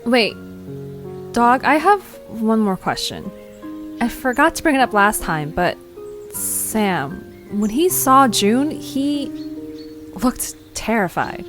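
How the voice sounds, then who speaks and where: A woman's voice: a little high, sing-song, slow, music in the background. A woman playing an eager, inquisitive young adventurer in an audio drama. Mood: probing. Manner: eager, questioning. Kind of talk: scripted audio drama acting.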